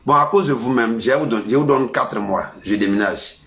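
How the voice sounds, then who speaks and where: A man with an Ivorian French accent and a head cold delivers a casual monologue. His voice swings up and down as he scolds, stuffy yet animated, at a middling pitch. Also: normal speed. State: has a cold. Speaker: vlogger.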